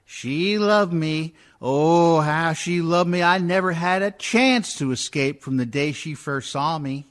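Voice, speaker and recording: A man's voice: a little high, a little slow, lightly acted. A man playing a dead husband who wryly and wearily recounts his marriage in a theatrical radio-play monologue. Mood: wry, resigned.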